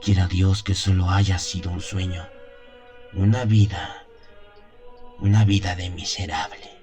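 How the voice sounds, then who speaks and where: A man acts out an elderly country priest in a radio drama, his voice very low. He is haunted and wistful, confiding his story deliberately, with weighty pauses. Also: level tone, darkish tone, faint music behind. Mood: rueful. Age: 70s as played. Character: seventy-year-old country priest, haunted, confessional, wistful. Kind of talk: dramatised first-person radio drama monologue.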